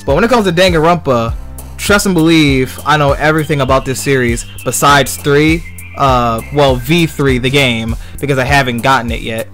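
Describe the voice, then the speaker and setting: A man talks in a casual, rambling way on a gaming livestream. He circles back over his own phrases in a mid-pitched voice, with music playing behind him. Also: moderate pace, a bit bright. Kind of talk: casual gaming stream chat.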